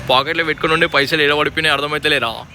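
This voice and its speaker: Young male vlogger, Telangana accent, very bright forceful delivery, informal chat, faint street noise behind. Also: on the high side, steady tone, racing. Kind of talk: casual chat to camera and on a phone call. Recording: faint outdoor traffic noise.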